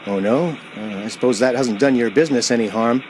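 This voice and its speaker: A man's voice: medium pitch, fairly quick, darkish tone, slight background noise, close to an everyday voice, rough recording. An actor playing an uneasy man driving alone, narrating a radio play in a hesitant, dramatic way. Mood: nervous questioning.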